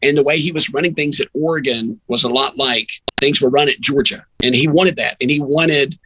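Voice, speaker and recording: A man's voice: mid-range voice, fairly quick, sharp tone, slight background noise, low-quality recording. A man who works as a sports radio announcer, talking casually as a guest in a rambling, anecdotal way. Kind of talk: casual sports chat and storytelling.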